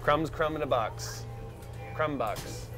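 A young man hosting a mock late-night talk show on a subway car, asking deadpan absurd questions and hamming up his announcer intros. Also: a little high, slow, over music. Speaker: comedy talk show host. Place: subway car, train moving.

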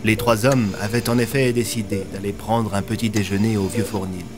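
Low-voiced young man narrating a story. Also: fairly even intonation, fairly quick. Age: young adult. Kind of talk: scripted audio fiction narration. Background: soft music.

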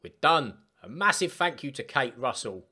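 A man chatting casually through a step-by-step software tutorial for gamers. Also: medium pitch, big pitch swings, normal speed, breathy edge. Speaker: gaming tutorial host. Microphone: desktop microphone, voice-over of screen capture.

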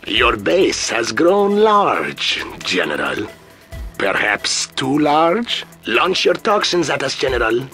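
A menacing, pompous guerrilla commander for a video game. His voice is forceful and sharp, with dramatic, sweeping intonation that draws out each threat. He taunts in a deliberate, theatrical way, over faint music. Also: mid-range voice, full character voice. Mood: indignant, threatening. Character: proud, menacing male guerrilla commander in chief. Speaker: villain general taunting the player.

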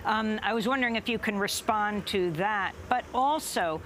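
Older woman news host in a studio, measured questions, a little faint hiss. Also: mid-range voice, fairly bright tone. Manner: measured questions, some hesitations. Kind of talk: news interview.

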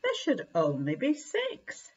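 A woman voicing an earnest, easily cross young dog in a storybook, with emphatic, deliberate delivery. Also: a little high, wildly swooping pitch, breathy edge, dull audio, heavily acted. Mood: worried, puzzled.

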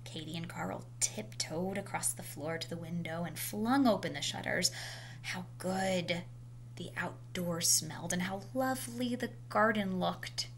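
A woman's voice: fairly low pitch, creaky. Woman reading a storybook, noisy recording. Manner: animated, dramatic emphasis with drawn-out words. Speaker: storybook reader. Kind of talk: expressive read-aloud of a children's picture book. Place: indoors, small room at home. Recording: noisy home video recording.

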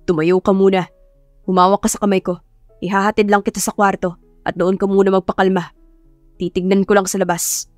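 A woman's voice: mid-range voice, level tone, fairly quick, pauses often, a little mellow, dry recording, soft background music, close to an everyday voice. A woman voicing a stern, grumpy and aloof male professor in an audio love story, speaking curtly. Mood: urgent, controlled. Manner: curt, gruff, unbothered.

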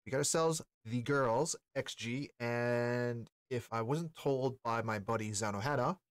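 A man's voice: mid-range voice, a little slow, clear, resonant tone, no echo. Casual man reacting, dry close recording. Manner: slangy, informal. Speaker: music reaction host. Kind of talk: casual reaction commentary to camera. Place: small indoor streaming room.

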